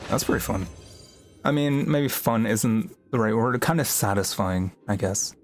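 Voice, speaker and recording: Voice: mid-range voice, measured pace. Casual, rambling game streamer over music. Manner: rambling, musing aloud, self-deprecating. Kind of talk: casual livestream gameplay chat. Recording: music playing behind the voice.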